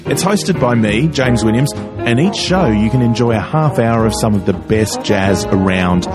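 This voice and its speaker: A man's voice: mid-range voice, lively intonation, normal speed. Man reading promo over music. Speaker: music show host. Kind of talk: scripted podcast promo. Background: music bed.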